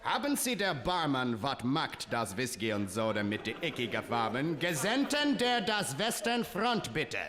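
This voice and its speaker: An actor playing a blustering middle-aged emperor with a comic fake German accent in a live radio comedy. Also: male voice, medium pitch, sing-song, measured pace, hardly any pauses, reverberant, big-room sound, a crowd in the background, voice pushed down. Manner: barking, guttural, mixes German and English.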